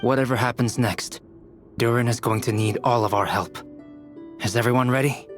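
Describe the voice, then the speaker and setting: An actor playing a calm, analytical young adventurer in a video game, composed and matter-of-fact. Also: male voice, mid-range voice, normal speed, music in the background, natural voice. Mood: resolute. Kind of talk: anime-style video game voice acting.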